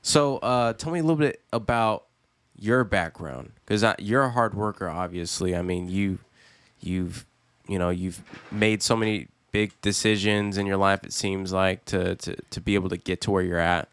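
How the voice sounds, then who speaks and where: A man with a low, deep voice hosts a casual interview. He hesitates and restarts his sentences and keeps nudging his guest on. The sound is dry and close. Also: normal speed, fairly bright tone, clean tone, dry recording. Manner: hesitant, restarts a lot, encouraging. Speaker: podcast host.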